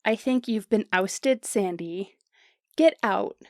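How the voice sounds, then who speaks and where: A woman's voice: mid-range voice, takes it slowly, darkish tone, no echo, clean studio sound. A woman acting a dramatic, lovestruck young podcaster in a modern Shakespeare audio drama, theatrical and poetic. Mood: haughty, peeved.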